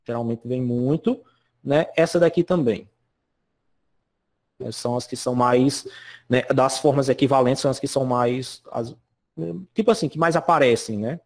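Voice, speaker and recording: A man's voice: mid-range voice, moderate pace, long pauses, a little mellow. A male teacher from Northeast Brazil, explaining a lesson from slides in a chatty way and checking in with his students all the time. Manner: chatty, constantly checks in with "né?" and "certo?".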